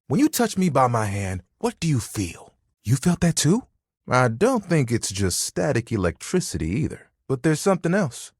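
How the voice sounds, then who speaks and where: A man playing an easygoing, proud and sentimental living towel in a video game, with a husky, expressive and earnest delivery. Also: on the high side, dry recording, clean studio sound, full character voice. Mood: tender, flirty. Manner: laid-back, expressive, earnest, playfully boastful. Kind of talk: video game character dialogue.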